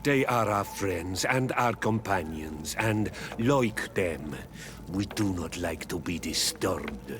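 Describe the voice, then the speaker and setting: A proud island chief speaks low and very slowly, gruff and full of disdain for outsiders. It is video game dialogue with outdoor-style ambient noise behind it. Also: male voice, lively intonation. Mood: disdainful, hostile. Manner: gruff, slow, deliberate, disdainful.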